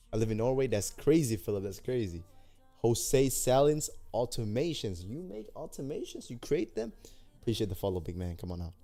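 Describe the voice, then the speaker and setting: A man's voice: mid-range voice, mellow. A young man from Denmark, livestreaming as he demos an app builder, chatting with his viewers in slangy, hyped, repetitive talk. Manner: hyped, slangy, talks to chat, repeats himself. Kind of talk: casual livestream chat with viewers.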